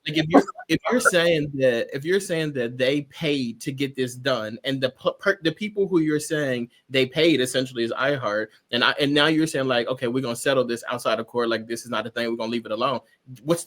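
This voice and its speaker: A man's voice: mid-range voice, a little fast, fairly bright tone. A man debating casually as a show panelist, circling back and rephrasing his point with lots of "like".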